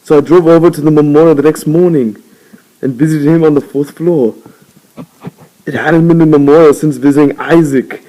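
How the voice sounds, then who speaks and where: A man's voice: on the high side, steady tone, moderate pace, warm tone, rough recording. A man narrating a novel aloud as an amateur reader.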